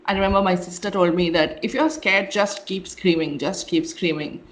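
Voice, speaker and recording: A woman from India, co-hosting a casual podcast and telling animated stories with laughs. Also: lowish voice, moderate pace, low-quality recording. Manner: animated storyteller, laughs mid-sentence. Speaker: podcast co-host. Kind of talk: casual chat.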